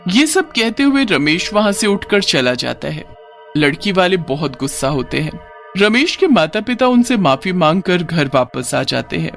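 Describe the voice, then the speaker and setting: A man's voice: sits high, very animated, a little slow, vocal fry, fairly bright tone, soft background music. A man narrating a scripted, dramatic story as a storyteller.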